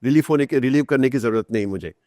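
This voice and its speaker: A man's voice: mid-range voice, fast talker, mellow, no room sound. Indian man telling anecdotes in dry, close sound. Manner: anecdotal, explanatory, mixes in English phrases. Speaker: interview guest, author. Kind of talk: conversational storytelling in a podcast interview.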